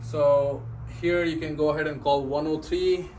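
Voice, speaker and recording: Guy doing a casual product demo, explains stuff step by step, voice a bit high, some noise behind him. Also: steady tone, moderate pace, darkish tone, poor audio. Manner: explanatory, often ends points with a checking "right?". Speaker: security system installer giving a product demo. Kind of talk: informal unscripted product walkthrough. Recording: clear background ambient noise.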